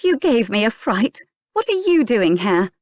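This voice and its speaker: A prim young lady with a high, strained voice that bursts into fury, as a woman reads her. Her speech is clipped and indignant. The sound is dry and close. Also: very animated, measured pace, dry recording. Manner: indignant, rising to anger.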